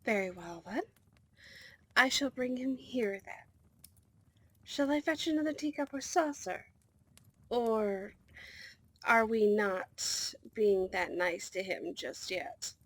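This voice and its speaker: A woman's voice: medium pitch, slow, long pauses, no echo. A woman playing a formal, deferential and protective servant to a princess in a scripted fantasy ASMR roleplay. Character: dutiful, protective female servant of a vampire princess.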